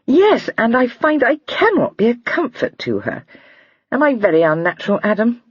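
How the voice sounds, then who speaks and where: Lively and teasing, this young lady chatters with her voice flying up high and swooping all over, soft and breathy. A woman puts her on while reading the story aloud, close to the mic in a dry, muffled recording. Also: moderate pace, dry recording. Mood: chattering.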